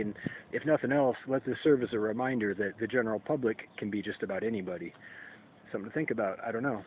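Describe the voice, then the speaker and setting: A man in his forties talking to camera in a solo vlog, halting and hesitant, often restarting and clearing his throat. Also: on the low side. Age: 40s. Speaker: YouTube creator. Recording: tinny phone-like sound with faint ambient noise.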